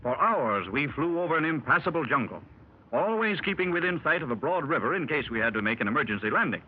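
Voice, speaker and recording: A genteel middle-aged explorer who tells his adventure to gentlemen at a club in polished, formal phrases. His voice is a little high and swoops up and down expressively. It comes through a dull, muffled 1930s radio transcription with faint hiss. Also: sing-song, fast. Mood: measured. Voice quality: formal, clubby storyteller. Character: learned explorer Doctor Roberts, middle-aged man. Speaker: explorer recounting his expedition. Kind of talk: 1930s radio drama acting.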